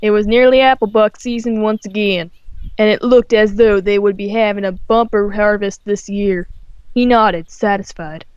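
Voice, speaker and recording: A woman's voice: mid-range voice, fairly even intonation, moderate pace, darkish tone, clean tone, no echo. A woman storyteller reading aloud informally, with casual asides, on a slightly dull microphone. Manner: informal, breaks off to comment and swear. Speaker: storyteller, host.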